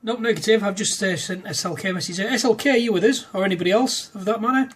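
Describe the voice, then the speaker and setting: A man's voice: sits high, fast talker, fairly bright tone, husky. A man in casual amateur radio chat, matey and full of radio jargon and call signs. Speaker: amateur radio operator making a demo video. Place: indoors at a desk in a front room.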